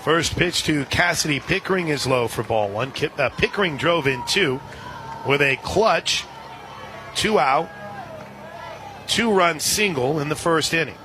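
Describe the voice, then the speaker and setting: A man calling a softball game live as a radio play-by-play announcer, in a folksy, chatty way with listeners. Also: fairly high pitch, animated, measured pace. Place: outdoor softball stadium. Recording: loud crowd and outdoor stadium noise. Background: crowd noise, outdoor ambience.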